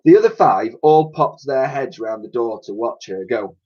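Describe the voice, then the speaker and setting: A man's voice: mid-range voice, normal speed. Man reading a children's story, dull recording. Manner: expressive, acts out characters' dialogue. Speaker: teacher reading aloud to pupils.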